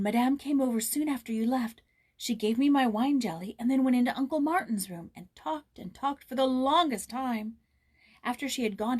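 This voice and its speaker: A woman voicing an excited young boy who is bursting with happy news, in a children's storybook reading. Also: fairly high pitch, breathy edge, voice pushed up.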